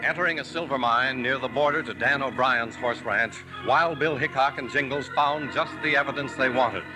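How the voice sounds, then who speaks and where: Formal radio announcer over music. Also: male voice, medium pitch, normal speed, rough recording. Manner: even, formal recap. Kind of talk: scripted narration.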